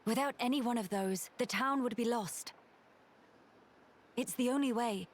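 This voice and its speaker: Composed, regal young princess. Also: female voice, fairly high pitch. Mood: firm, resolute. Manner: formal, measured, dignified diction. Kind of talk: video game cutscene acting.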